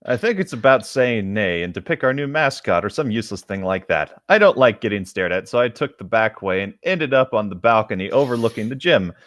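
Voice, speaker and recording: Lowish-voiced man, very dry sound. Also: lively intonation, a little fast, hardly any pauses, a bit bright, no echo. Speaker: podcast co-host reading aloud. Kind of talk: casual group fan fiction read-aloud with banter.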